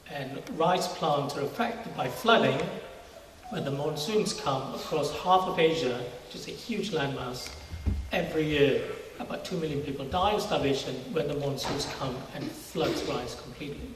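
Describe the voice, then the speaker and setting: Middle-aged male guest from Singapore, slightly high voice, explaining conversationally, recorded at a distance in a reverberant space. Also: moderate pace. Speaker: interview guest, university research scientist. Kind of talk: informal testimony interview. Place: large church hall, indoors. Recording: very echoey church hall, distant mic, faint noise.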